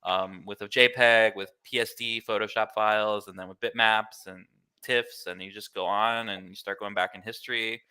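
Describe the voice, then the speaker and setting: An American man in his thirties with a slightly low, bright voice explains things as a guest in a casual interview. His delivery is clear, informal and easygoing. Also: fairly even intonation, moderate pace. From Miami, United States. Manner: easygoing, informal, filler-heavy with "like" and "kinda". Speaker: interview guest, glitch artist.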